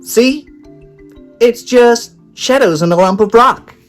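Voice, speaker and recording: A man voicing an eager, clever young boy space explorer who explains things in a high, excited voice. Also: slow pace, soft background music. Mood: explaining.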